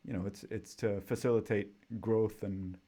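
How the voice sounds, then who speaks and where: A man from Sweden hosts a casual interview. His voice sits on the low side, and he talks in a relaxed, rambling way, often restarting his sentences. He is speaking over a remote call. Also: moderate pace. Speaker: podcast host. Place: home.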